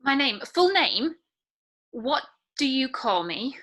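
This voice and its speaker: Bright, husky, bewildered young woman, acted by a woman. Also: sits high, very animated, full character voice. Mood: confused. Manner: halting, questioning. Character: bewildered, searching 28-year-old woman, lost and disbelieving. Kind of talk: scripted drama table read. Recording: video call.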